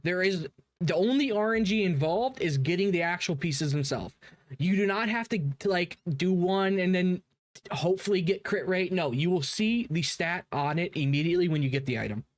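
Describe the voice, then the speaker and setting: A man's voice: high-pitched, expressive, moderate pace, no echo. A man giving casual live commentary as a streamer, informal and emphatically repeating himself. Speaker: streamer reacting to a game video.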